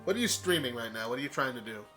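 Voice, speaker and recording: A man hosts a casual group voice call, rambling along and correcting himself mid-sentence. His voice rises and falls in a lively way over a voice chat mic, with music clearly heard behind him. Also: medium pitch, racing. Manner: rambling, with fillers and self-corrections. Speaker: stream host. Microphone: voice chat microphone.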